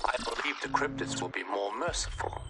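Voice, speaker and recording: An actor playing a cold, stern male broker in a video game, with a measured, clipped delivery. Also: mid-range voice, lively intonation, heavy background noise, natural voice.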